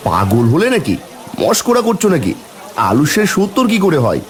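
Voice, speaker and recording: A man voicing a poor, grumbling village husband in a cartoon, expressive and plain-spoken. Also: on the high side, moderate pace. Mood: exasperated. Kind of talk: cartoon voice acting.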